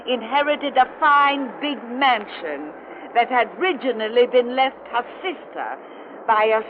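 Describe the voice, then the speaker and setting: On a muffled, noisy old radio recording, an ancient witch tells a spooky fireside yarn. She speaks very slowly in a folksy way, savoring every word with eerie relish. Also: fairly high pitch. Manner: folksy, slow, cackling storyteller. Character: ancient cackling witch spinning spooky yarns by the fire. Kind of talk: 1930s radio horror hosting.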